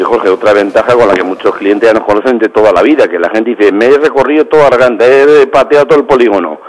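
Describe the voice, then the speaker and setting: Man, lowish voice, chatty proud restaurateur on a phone line. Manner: proud, talkative, repeats "espectacular". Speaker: restaurant manager. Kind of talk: phone-in radio chat.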